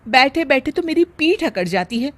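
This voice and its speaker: A woman plays a young working mother and daughter-in-law from a domestic story. Her voice sits high, and she speaks with emphatic, expressive exasperation, like someone overworked and put upon. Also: normal speed. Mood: exasperated, complaining.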